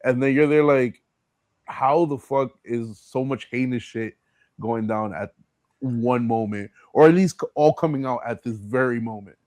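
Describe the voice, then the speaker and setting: A man's voice: mid-range voice, unhurried, a little mellow, no room sound. A man hosting a podcast in casual interview chat, informal and slangy, with some swearing. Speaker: podcast host.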